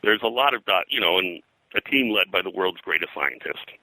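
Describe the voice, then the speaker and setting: An older man speaking as an interview guest, reminiscing in a halting, digressive way and often clearing his throat. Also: sits low, expressive, bright, forceful tone, no room sound, poor audio. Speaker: guest, pulp and comics historian. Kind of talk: interview conversation, reminiscing about his work.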